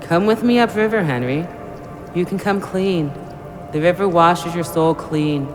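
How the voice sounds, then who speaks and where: A man's voice: high voice, unhurried, over music. An actor playing the luring ghost of a dead man in an audio drama, persuasive and soothing yet menacing. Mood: coaxing, menacing. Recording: long reverb, noisy ambience.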